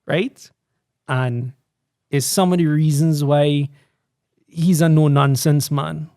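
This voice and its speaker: A man with a Guyanese accent chats as a podcast guest, earnest and proud. His voice sits a little high. The sound is close and dry, with no room around it. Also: fairly even intonation, slow pace, a little mellow, no room sound. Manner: proud, earnest, laughs often. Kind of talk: casual interview chat. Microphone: studio podcast mic.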